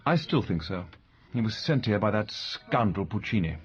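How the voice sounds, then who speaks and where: A man's voice: on the low side, big pitch swings, normal speed, dull audio. An actor playing a suave, world-weary male entertainer in a radio drama, with arch, dry, theatrical phrasing. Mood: wry, subdued.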